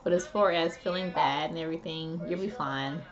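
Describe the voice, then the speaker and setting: A woman's voice: sits low, fairly quick, dull audio, a crowd in the background. A woman talking casually to viewers from home while she recovers after neck surgery, her voice still regaining strength. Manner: chatty, homey, addresses viewers as guys. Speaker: vlogger. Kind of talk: casual talk to camera.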